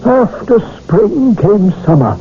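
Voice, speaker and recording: An actor playing a harsh, haunted old English judge in a theatrical, declamatory radio drama style. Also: male voice, sits high, big pitch swings, takes it slowly, noisy background, exaggerated voice. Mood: haunted, weary. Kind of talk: 1970s radio drama acting. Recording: muffled old radio broadcast, dramatic music behind.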